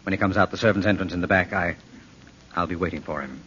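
An actor playing an earnest, protective detective, questioning urgently and reassuringly in a 1940s radio drama style. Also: male voice, low-pitched, fast, fairly bright tone. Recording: muffled, noisy old radio recording.

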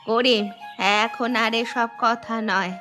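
A woman's voice: on the high side, fairly even intonation, slow, bright, forceful tone. A woman voicing an aging, widowed mother-in-law in a cartoon who laments and scolds. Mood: sorrowful. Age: older. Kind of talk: cartoon voice acting. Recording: studio voice with background music.

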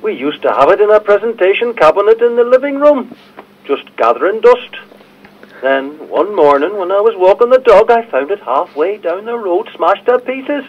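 A man's voice: high voice, big pitch swings, normal speed. A man playing an unassuming, hesitant caller in an audio drama, heard over a dull call-in line. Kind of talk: audio drama staged as a podcast.

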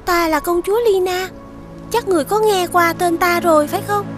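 A woman voicing a sweet, earnest young princess in an animated fairy tale, childlike and polite. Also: very high voice, brisk, over music. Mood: curious.

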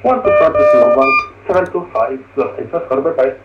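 A man's voice: mid-range voice, very animated, brisk, loud music behind the voice. A man speaking as a simulator pilot, giving short readbacks over a radio link. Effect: radio transmission. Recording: muffled, noisy, echoing radio link.

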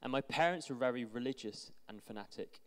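A young man with a smooth, resonant voice reads a testimony aloud in a steady, earnest way, now and then tripping over a word. He holds a handheld mic, and his words ring out through a big church hall with a long echo behind them. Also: mid-range voice, brisk. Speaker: church member reading a friend's testimony. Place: large church hall with stage.

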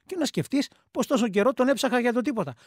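A woman's voice: a little high, animated, darkish tone, dry recording, clean studio sound. A woman playing an emigrant ex-soldier man, telling an anecdote colloquially in speech for a radio play. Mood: reminiscing. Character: plain-spoken emigrant army veteran man recounting his past.

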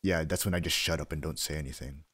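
Very deep-voiced young man, deadpan. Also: fast talker, slightly rough, no room sound. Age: young adult. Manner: deadpan, rambling. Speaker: streamer. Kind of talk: casual chat while gaming. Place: home room, seated.

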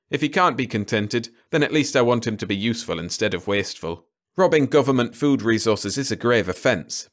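A man's voice: lowish voice, close to an everyday voice. A man acts out a grim government official from an audiobook. He is stern and formal, and phrases things pedantically. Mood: firm, reasoning. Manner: formal, measured, pedantic wording. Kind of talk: audiobook character dialogue.

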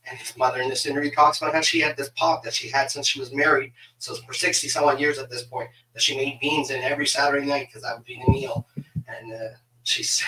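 A middle-aged man hosting a casual interview over a video call, talking in a halting way and repeating words as he goes. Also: mid-range voice. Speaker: podcast host. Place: small home office on a video call. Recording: bright, roomy, distant mic with hiss.

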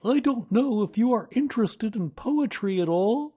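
A man voicing a gentle, simple-minded bear in a storybook reading, hesitant and earnest. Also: sits high, animated, husky, voice pushed up. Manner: hesitant, earnest, slow-spoken.

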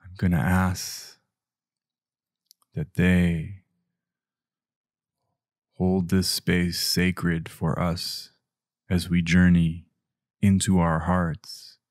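Male meditation guide, low register, very unhurried, gentle hushed phrasing with long pauses. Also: flat delivery, no echo, clean studio sound. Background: music.

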